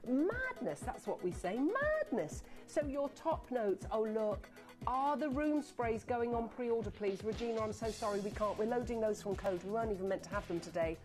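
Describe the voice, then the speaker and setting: A woman presenting live on a TV shopping channel, chatty and excitable, rattling off buyer names and sounding a little sniffly from a cold. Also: fairly high pitch. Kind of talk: live on-air sales pitch. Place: TV studio.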